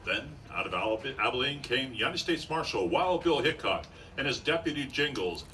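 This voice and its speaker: A man's voice: medium pitch, animated, moderate pace, bright, forceful tone. A man narrating as a 1950s-style radio announcer on a live stage, with an emphatic showman's delivery. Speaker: announcer in a radio show re-enactment. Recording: distant mic, faint noise.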